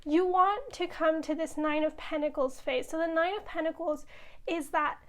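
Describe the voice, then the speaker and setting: A woman giving a casual tarot reading, a little hoarse from losing her voice, reassuring her viewers with frequent "okay?". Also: extremely high pitch, steady tone, normal speed, a bit bright. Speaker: tarot reader.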